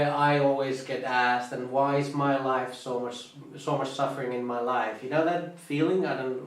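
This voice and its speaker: Male Buddhist monk, Finnish accent, halting informal talk, very echoey room, mic at a distance. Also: mid-range voice. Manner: halting, searches for English words. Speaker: Buddhist monk teaching meditation. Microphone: online live stream, distant mic.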